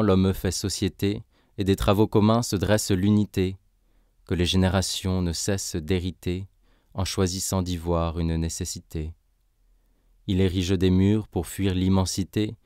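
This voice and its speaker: Very low-voiced man reciting poetry slowly. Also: darkish tone, no room sound, clean studio sound. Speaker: poetry reader. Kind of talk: solemn scripted poetry recitation.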